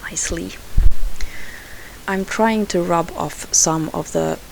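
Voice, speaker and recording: Swedish-accented woman, craft voice-over; low, unhurried, halting delivery. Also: vocal fry, a little hiss or hum, poor audio. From Sweden. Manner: hesitant, unhurried, frequent fillers and restarts. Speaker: scrapbooking crafter.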